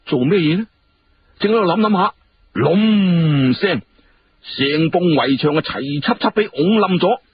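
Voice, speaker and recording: A man with a Hong Kong Cantonese accent narrating a story dramatically, in a colloquial, expressive way full of exclamations. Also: a little high, unhurried, breathy edge, highs cut off.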